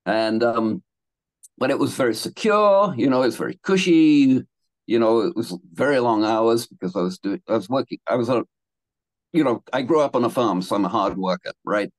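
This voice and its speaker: A man's voice: medium pitch, expressive, moderate pace, no room sound. A man in his seventies from England, telling his life story as a guest in a casual interview, rambling with restarts and laughing between thoughts. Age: 70s.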